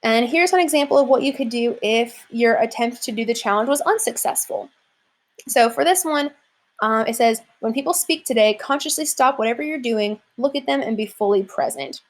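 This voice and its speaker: A woman's voice: a little high, normal speed. Chatty woman teacher explaining an assignment. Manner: casual, chatty, many "like" and "um" fillers. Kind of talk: classroom lesson walkthrough.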